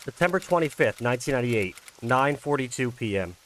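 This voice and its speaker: A man's voice: medium pitch, animated, brisk, a bit bright, slight background noise, poor audio. Man reading his story aloud. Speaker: creator reading own fan-made visual novel. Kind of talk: casual intro, then dramatic read-aloud narration.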